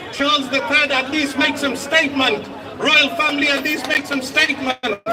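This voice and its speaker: High-voiced man giving a rally speech. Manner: impassioned, declamatory. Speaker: speaker at a protest rally. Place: outdoor street rally. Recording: open-air rally from far off, crowd behind.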